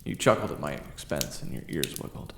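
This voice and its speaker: A man narrating a roleplay story in the first person, addressing the listener as you, with an echo and loud music behind him. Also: extremely low pitch, fairly quick, gravelly, noisy background. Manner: second-person storytelling, steady.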